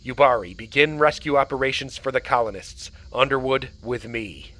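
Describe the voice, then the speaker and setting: A forceful alien captain , played by a man in a sci-fi audio drama. He is gruff and defiant, giving orders with wry authority. The voice is sharp and bright, over faint background noise. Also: medium pitch, normal speed, natural voice, rough recording. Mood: brisk, commanding. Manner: commanding, wry.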